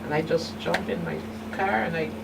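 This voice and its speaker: Low-voiced woman, candid interview guest, noisy distant mic. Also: fairly even intonation, normal speed. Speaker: guest, survivor and advocate. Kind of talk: personal testimony in a talk show interview.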